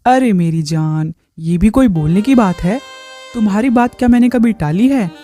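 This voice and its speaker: A woman's voice: mid-range voice, animated, moderate pace, dark tone, faint music behind, natural voice. Sly lecherous brother-in-law played by a woman. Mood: agreeable. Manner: coaxing, sly. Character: lecherous, smooth-talking married man, a brother-in-law.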